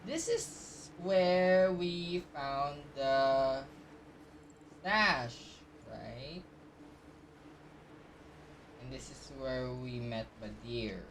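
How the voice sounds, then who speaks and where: A woman's voice: a bass voice, drawn-out words, pauses often, recorded from a distance, slight background noise. A woman streamer giving casual gameplay commentary, thinking aloud and muttering to herself as she plays. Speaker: game streamer.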